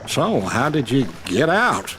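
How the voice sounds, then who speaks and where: An actor playing a curious, puzzled saloon listener in a Western game, asking slow questions. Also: male voice, medium pitch, big pitch swings, background noise. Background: loud game music.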